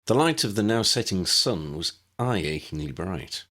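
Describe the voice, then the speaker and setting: Male storyteller for fantasy audiobook, slightly deep, highly animated intonation. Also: measured pace. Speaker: audiobook narrator. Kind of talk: expressive scripted narration of a fantasy story.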